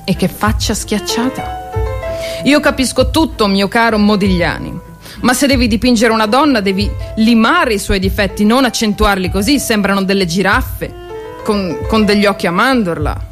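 A woman's voice: mid-range voice, normal speed, natural voice. Woman doing a proud wry society lady nurse from ww1, stage monologue, dramatic emphasis, faint music behind. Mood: ironic dismay. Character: ironic, cultured society lady, proud First World War volunteer nurse. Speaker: solo stage actress. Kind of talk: theatrical monologue.

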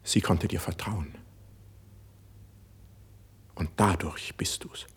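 An actor playing an idealistic, impulsive young poet in a classical German verse radio play, speaking in a fervent, declamatory way. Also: male voice, low voice, fairly even intonation, slow pace, slightly rough, in a whisper, full character voice. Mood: anguished, hushed. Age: young adult.

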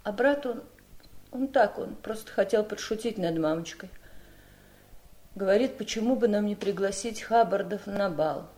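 Wistful, girlish, rambling gentlewoman played by an actress. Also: medium pitch, normal speed, close to an everyday voice. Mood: wistful remembering. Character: Birdie, wistful, nervous Southern gentlewoman, tipsy and nostalgic. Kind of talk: Soviet radio drama acting.

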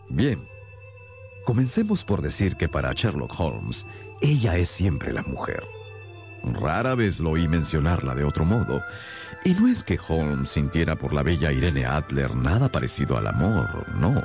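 An actor playing a gentlemanly physician who recounts detective cases in a radio drama, with measured, theatrical delivery and deliberate pauses. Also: male voice, very deep voice, slightly rough, heavily acted. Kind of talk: radio drama first-person narration.

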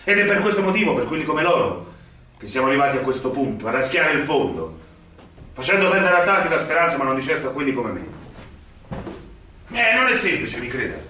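An actor playing an idealistic young magistrate in a radio drama, questioning insistently and rhetorically, with indignation. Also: male voice, medium pitch, sing-song, fairly quick, long pauses, pushed and bright. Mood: earnest, resolute. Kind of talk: theatrical radio drama acting. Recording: very echoey room, distant from microphone.

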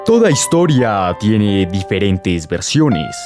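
A male radio host narrates a drama from a script in a lowish, very animated voice. Music plays clearly behind him. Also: slow.

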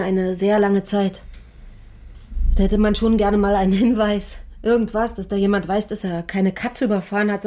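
A woman's voice: medium pitch, mellow. An actress playing a bitter, worn-out middle-aged working mother in a radio drama, plain-spoken, reproachful and emotionally raw. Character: bitter, exhausted working mother of an injured teenage son. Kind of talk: naturalistic radio drama acting.

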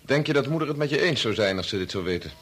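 An actor playing a scientist's bitter young adult son, blunt and insistent, in a radio play. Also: male voice, mid-range voice, expressive, rapid-fire, fairly bright tone, breathy edge. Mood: challenging. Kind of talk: Dutch radio play acting.

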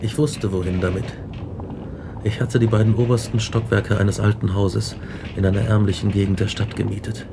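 Radio drama lead: haunted experimenter, brooding and intense, lowish creaky voice over noisy atmosphere. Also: male voice, level tone, normal speed. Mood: brooding fascination. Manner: intense, brooding, dwelling on words. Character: obsessive, haunted male scientist recounting his grisly experiments. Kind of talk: radio drama acting and narration.

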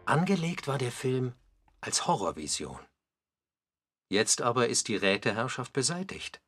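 A man's voice: mid-range voice, sing-song, a little slow, a bit bright, soft background music. A man delivering scripted dramatic narration for a radio documentary, with emphatic, pointed phrasing.

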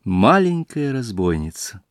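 Slow, expressive male fairy-tale narrator. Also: mid-range voice, dry recording, clean studio sound. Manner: expressive, theatrical storytelling. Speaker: audiobook reader, stage actor.

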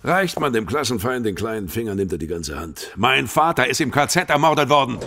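A man's voice: mid-range voice, big pitch swings, a little fast, bright, forceful tone, exaggerated voice. An actor playing a scornful, dogmatic old male communist in a radio crime play, with a sardonic manner. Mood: bitter. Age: older. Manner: sardonic, doctrinaire. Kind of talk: German radio play dialogue.

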